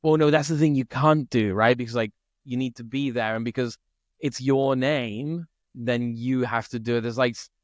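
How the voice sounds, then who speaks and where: A man from Italy co-hosting a podcast, chatting casually and thinking out loud, often checking in with "right?". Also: medium pitch, steady tone, moderate pace, no echo, studio quality. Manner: thinks aloud, peppers speech with "like" and "right?". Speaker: podcast co-host. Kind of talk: casual conversation.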